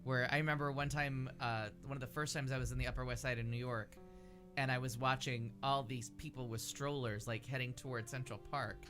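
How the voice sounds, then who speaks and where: A man's voice: medium pitch, steady tone, a little fast, over music. An American man hosting a casual talk show, asking questions and telling stories in a blunt, joking way. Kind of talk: casual comedic chat and questioning.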